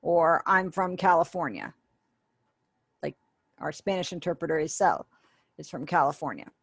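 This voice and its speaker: A woman with a fairly low voice teaches a beginner sign language class over a webinar call. She explains patiently and voices sample phrases as she goes. The sound is dry and close, with no room around it. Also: very animated, normal speed, husky, dry recording. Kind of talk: online sign language lesson. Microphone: webinar video call.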